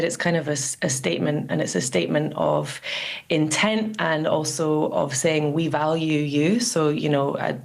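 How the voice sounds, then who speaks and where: Woman, actress guest, thoughtful, measured, very low voice. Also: creaky. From Scotland. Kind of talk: radio interview guest.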